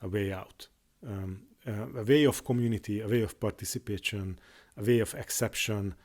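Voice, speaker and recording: A man with a fairly low voice, a native Hungarian speaker talking without a script. He speaks haltingly, stumbling over restarts and filling pauses as he works his way through each thought. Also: fairly even intonation, a little mellow. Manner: halting, many restarts and fillers. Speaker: YouTuber. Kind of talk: unscripted monologue on faith.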